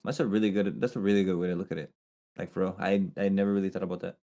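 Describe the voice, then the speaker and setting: A laid-back man with a low voice and heavy gravel in his tone. He co-hosts in an easygoing way, tossing in dude and bro. His questions stay friendly and loose. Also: steady tone, very fast, warm tone. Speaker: co-host. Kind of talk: casual podcast chat.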